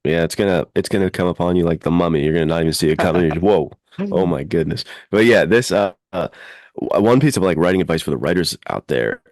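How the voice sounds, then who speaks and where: A man's voice: low-pitched, lively intonation, quick pace, darkish tone, no echo, clean studio sound. A man co-hosting a podcast in casual chat, with an informal, effusive, enthusiastic way of talking. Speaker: podcast co-host and fiction writer.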